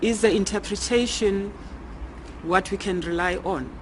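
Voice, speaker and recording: A South African woman with a local accent presiding as judge in court, patient and measured. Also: mid-range voice. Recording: noisy, ambient courtroom recording.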